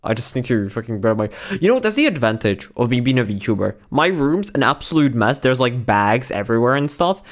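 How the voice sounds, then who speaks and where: Hoarse young man rambling on stream. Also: medium pitch, brisk, hardly any pauses. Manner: animated, slangy and profane, rambling with restarts. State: hoarse, voice worn out. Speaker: VTuber streamer. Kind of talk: casual livestream chat. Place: own bedroom.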